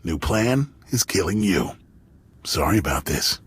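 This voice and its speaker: Very husky hired thug. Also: male voice, low voice, big pitch swings, a little slow, exaggerated voice. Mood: casual menace. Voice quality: husky, rough. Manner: offhand, matter-of-fact menace. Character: cocky, casual male hired thug. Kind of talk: dramatic video game acting.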